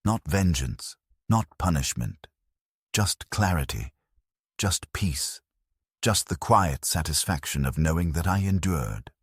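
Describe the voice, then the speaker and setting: Very deep, husky older male narrator. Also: lively intonation, takes it slowly, no room sound, clean studio sound. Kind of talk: first-person scripted story narration.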